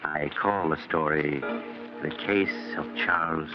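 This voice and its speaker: Very deep ominous radio storyteller. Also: male voice, fairly even intonation, slow, loud music behind the voice. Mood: sinister, inviting. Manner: sly, ominous, theatrical. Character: ominous doctor storyteller of eerie crime tales. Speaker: host and narrator of a mystery anthology. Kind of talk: 1940s radio suspense narration. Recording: noisy, muffled old radio recording.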